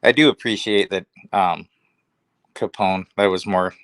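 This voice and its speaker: A man's voice: low voice, steady tone, unhurried, dry recording. A man on a livestream panel giving casual, opinionated commentary, restating his points as he goes.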